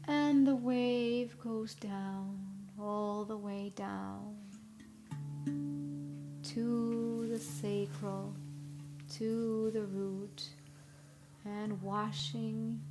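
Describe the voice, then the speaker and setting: Very slow, higher-voiced woman guiding meditation. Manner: soothing, instructional, with long pauses between short phrases. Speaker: guided meditation leader. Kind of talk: live guided meditation. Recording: live recording with clear background noise.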